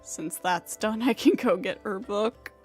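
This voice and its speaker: A woman's voice: medium pitch, a little slow. A woman game streamer giving casual live commentary and reading game lines aloud. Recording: faint game music behind the voice.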